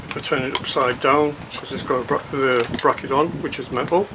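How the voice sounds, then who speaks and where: A man giving a casual, unscripted unboxing commentary, thinking aloud in a halting, hesitant way as he reviews a product. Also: medium pitch, steady tone, noisy background.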